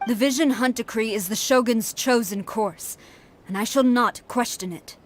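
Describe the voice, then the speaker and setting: A woman's voice: fairly high pitch, moderate pace, slight background noise, natural voice. A woman playing a stern, proud, loyal army general in an anime video game, formal and commanding. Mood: formal, firm.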